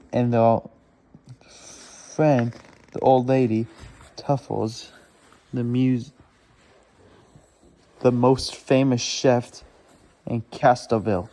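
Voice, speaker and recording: A man with a soft, mellow voice reads a children's storybook aloud at a slow pace. His delivery is laboured and halting, and he often stumbles and goes back to correct a word. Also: medium pitch, rough recording.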